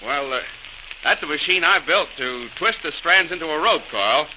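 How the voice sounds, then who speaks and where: A man's voice: on the high side, expressive, moderate pace, lightly acted. An actor playing a determined, earnest male engineer in old-time radio drama style, with emphatic, stagey delivery on a noisy old recording. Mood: hearty, eager. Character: John Roebling, earnest, determined male engineer inventor rallying his neighbors. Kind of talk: 1940s radio drama acting.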